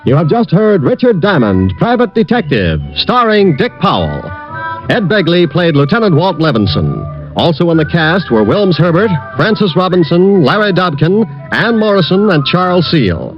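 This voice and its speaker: A male announcer reads the credits in a smooth, formal delivery. His voice is fairly high, with a lively, sweeping lilt, and the old broadcast sounds muffled with faint music underneath. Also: normal speed. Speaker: radio announcer. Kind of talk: scripted announcement. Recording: muffled vintage radio broadcast.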